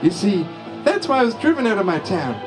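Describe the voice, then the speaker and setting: A man's voice: high-pitched, big pitch swings, fairly quick, over music. Man doing a coy pleading young lady. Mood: wistful.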